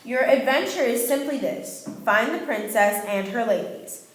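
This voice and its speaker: Radio play king, played by a woman: formal, archaic, courtly declamation, fairly high voice in a roomy space. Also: a little slow, lightly acted. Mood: genial, eager. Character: regal, courteous king of Camelot, archaic-speaking male monarch. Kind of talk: stage-like amateur radio play acting. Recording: roomy live room, faint music.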